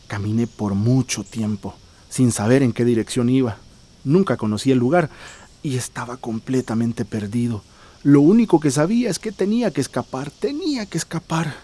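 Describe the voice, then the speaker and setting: An actor playing a desperate, worn-down migrant worker in a radio drama, earnest and pleading. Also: male voice, medium pitch, dark tone, lightly acted.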